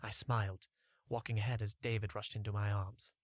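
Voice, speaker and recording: A man's voice: fairly low pitch, flat delivery, a little fast, no room sound, studio quality. A man reading a scripted first-person story as an audiobook narrator.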